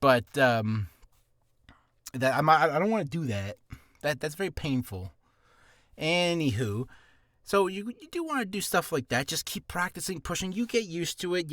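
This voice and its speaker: A man talks through beginner voice-acting tips in a loose, rambling way, nervous and quick to poke fun at himself. His pitch sits a bit high and swings widely as he talks. The sound is close and dry, recorded in a small, cramped room. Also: a bit bright, dry recording. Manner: nervous, rambling, self-deprecating. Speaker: voice actor giving beginner advice. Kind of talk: casual rambling advice vlog. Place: small hot confined room.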